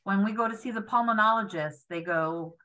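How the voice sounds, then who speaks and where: Bright-voiced woman on a video call. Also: mid-range voice, fairly quick. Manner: earnest, with many fillers and restarts. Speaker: patient foundation president. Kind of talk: video call panel speaking. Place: home room indoors. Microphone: webcam on video call.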